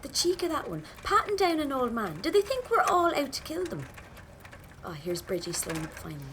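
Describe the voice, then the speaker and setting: A nervy Irish rebel in noisy surroundings. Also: female voice, sits high, huge pitch swings, brisk, fairly bright tone, full character voice. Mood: indignant. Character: nervy, grumbling young Irish rebel woman. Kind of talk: audio drama inner monologue. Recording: noisy outdoor-style scene ambience.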